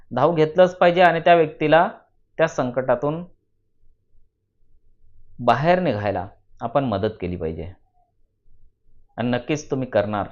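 A man from narrates a children's moral story in a deliberate, teacherly way, repeating phrases for emphasis. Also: medium pitch. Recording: dull lo-fi voice recording.

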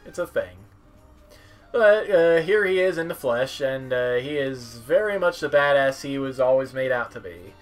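A man doing casual game commentary as a streamer, halting as he goes and dropping wry asides. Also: medium pitch, animated. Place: small room at home, indoors. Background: game music.

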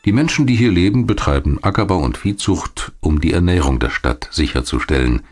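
A male documentary narrator with a deep, low-pitched voice. He reads scripted material in a measured, factual way, setting out each sentence as information. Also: lively intonation, darkish tone, soft background music.

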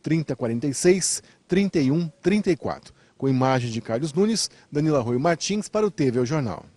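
A middle-aged man reporting outdoors, asking brisk interview questions into a handheld mic. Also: a little high, lively intonation, darkish tone, breathy edge, no echo. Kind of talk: field interview. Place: outdoors by a brick wall.

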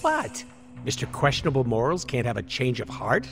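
A man's voice: mid-range voice, moderate pace, faint music behind. A man playing an irreverent, wisecracking mercenary antihero in a video game, sarcastic and flippant. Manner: sarcastic, flippant, cartoonishly animated. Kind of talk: comic video game character acting.

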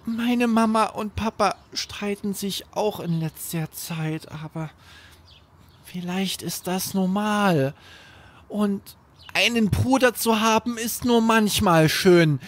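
Young boy , acted by a man: high, slow, simple earnest talk, a little worried, bedtime audio story. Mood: sulky, earnest.

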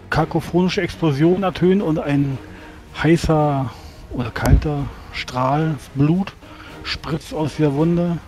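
Guy narrating rpg combat, takes his time, slightly high voice. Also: darkish tone. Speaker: role-playing game player. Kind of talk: slow descriptive narration of game combat.

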